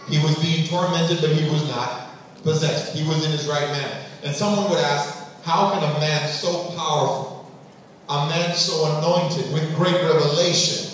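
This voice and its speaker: A male pastor preaching a sermon on a large auditorium stage, emphatic, swinging between hushed and loud, and speaking straight to the church. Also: a little high, a little hiss or hum. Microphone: room sound from a far camera. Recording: echoey hall, heard from far off.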